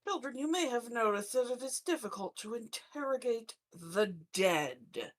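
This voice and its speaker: Female roleplayer, fairly high, very animated pitch, casual game table talk. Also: a little slow. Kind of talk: casual game chat with in-character lines.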